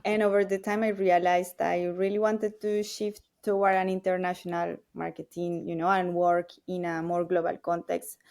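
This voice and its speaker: Low, even-toned Colombian-accented woman. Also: normal speed, creaky, hardly any pauses. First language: Spanish. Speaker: guest, marketing professional. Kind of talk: conversational self-introduction.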